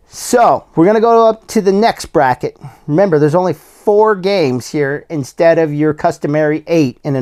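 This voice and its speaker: Slightly hoarse man hosting a podcast. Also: a little high, darkish tone. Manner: casual, plain-spoken, many fillers and restarts. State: slightly hoarse, losing his voice. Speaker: podcast host. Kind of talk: solo sports podcast, bracket predictions.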